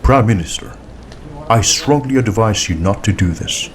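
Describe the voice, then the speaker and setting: A man's voice: on the low side, animated, normal speed, lightly acted. A man voicing a stiff, protesting police inspector in an audiobook, formal in manner. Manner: formal and objecting. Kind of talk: audiobook character dialogue.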